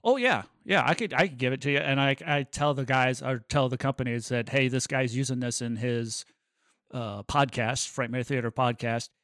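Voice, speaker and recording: A man's voice: medium pitch, moderate pace, fairly bright tone, no room sound. Easygoing man chatting on a dry podcast mic. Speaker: podcast co-host and musician. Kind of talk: casual podcast chat.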